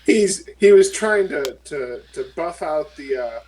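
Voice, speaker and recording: A man's voice: fairly high pitch, expressive, measured pace, darkish tone, slight background noise, low-quality recording. A man playing a tabletop game, trading casual, joking banter with the group.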